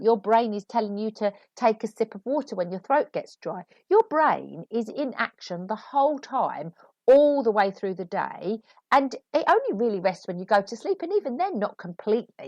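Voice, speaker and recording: A woman hosts a solo podcast and talks through writing tips in a chatty, animated way. She is recovering from a cold and sounds a little sniffly. Her pitch rises and falls a lot, and the recording is dry and close. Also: dry recording. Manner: chatty and animated, laughing asides. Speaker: podcast host and author. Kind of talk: solo podcast advice talk.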